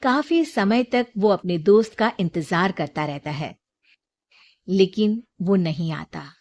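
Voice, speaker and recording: A woman tells a scripted bedtime-style moral story as its narrator. Her voice sits at a medium pitch, with clear storybook phrasing. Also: lively intonation, slow pace, darkish tone, muffled recording.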